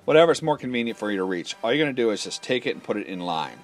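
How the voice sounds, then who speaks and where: A man's voice: lowish voice, very animated, rapid-fire, faint music behind. A man demonstrating a product in an informal, matter-of-fact, step-by-step instructional style. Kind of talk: informal hands-on instructional walkthrough.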